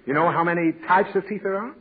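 A man's voice: high voice, fast, lightly acted. An actor playing a sentimental, easily flustered father who lectures his daughter in a 1940s radio comedy. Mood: fussy. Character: patient, fussy father of a naughty girl. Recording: tinny old radio broadcast with faint hiss.

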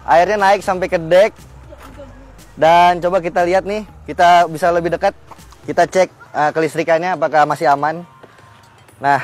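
A man's voice: fairly high pitch, a little hiss or hum. A young man presenting an on-location vehicle test on a flooded street, chatty and full of fillers. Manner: chatty, keeps addressing viewers as friends, many fillers. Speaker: automotive news presenter. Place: outdoors on a flooded residential street.

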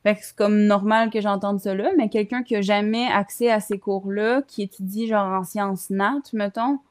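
A woman's voice: medium pitch, steady tone, moderate pace, darkish tone. A young woman with a Quebec French accent talking casually in a group discussion over a video call. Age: young adult. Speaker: social work student.

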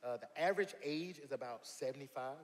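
A man from South Carolina, a worship leader talking earnestly as a guest on a church stage, often pausing and restarting. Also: medium pitch. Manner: earnest, many fillers and restarts. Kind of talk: casual interview chat. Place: church stage, large hall. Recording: long hall reverb, faint noise.

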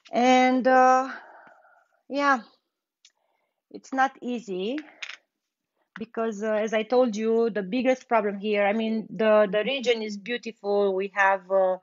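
Woman, Romanian accent, young adult, unhurried, chatty, live painting tutorial, muffled phone audio. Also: medium pitch. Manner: unhurried, chatty, laughs easily while painting. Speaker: furniture painter hosting a live stream. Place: indoor workshop with bare wall.

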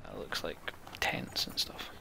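A man hosting a game playthrough, reading story text aloud and adding casual comments, with a gravelly, breathy voice. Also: slow, heavy background noise.

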